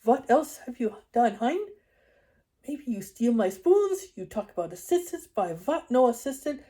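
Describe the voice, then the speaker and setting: A woman's voice: on the high side, big pitch swings, unhurried, warm tone, pitched above the performer's own voice. A woman voicing a fat, angry middle-aged German male vet with a thick, corny German accent. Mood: outraged. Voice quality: thick, sputtering voice.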